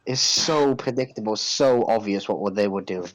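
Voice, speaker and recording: A man co-hosting a podcast in casual recap chat, blunt and argumentative, quick to cut in. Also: on the low side, rough recording. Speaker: podcast co-host.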